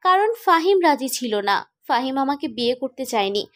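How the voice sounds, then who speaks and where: A woman plays a wounded but stubborn young woman in a cartoon romance. The voice is high-pitched. The delivery is insistent and emotional. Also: big pitch swings, a little fast. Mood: hurt, resolute. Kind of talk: animated romantic drama dialogue.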